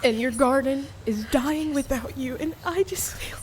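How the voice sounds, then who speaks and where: High-pitched, haunted young woman, acted. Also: a little hiss or hum. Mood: grieving, apologetic. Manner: halting, breathless pleading with repeated words. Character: anguished, haunted young daughter, pleading, fragile. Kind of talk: radio play acting.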